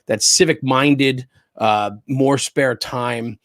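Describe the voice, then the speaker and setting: Middle-aged American male interview guest, medium pitch, dry sound, emphatic and opinionated yet casual. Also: fairly even intonation, takes it slowly, fairly bright tone, no room sound. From New Jersey, United States. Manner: emphatic, repeats points, checks with "right?". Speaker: interview guest, fire service spokesperson and former chief.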